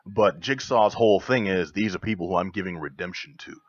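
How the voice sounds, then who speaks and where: A hoarse man with bronchitis, joking around as co-host of a live radio show from a studio. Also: low-pitched, fairly quick, no echo, dull audio. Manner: joking, playful wordplay. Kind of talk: casual radio banter.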